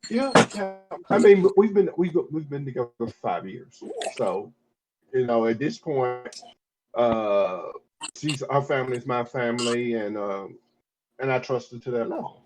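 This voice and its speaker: A man's voice: medium pitch, animated, a little slow, noisy background. A man in his fifties talking casually on a podcast, rambling with many restarts and repeated "I mean"s. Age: 50s. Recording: dull lo-fi audio.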